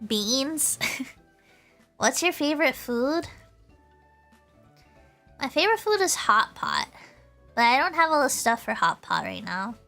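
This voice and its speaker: A woman's voice: sits high, sing-song, takes it slowly, pauses often, bright, forceful tone, soft background music. A woman livestreamer chatting casually to her viewers in a bubbly, giggly way, often repeating her phrases. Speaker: VTuber livestreamer.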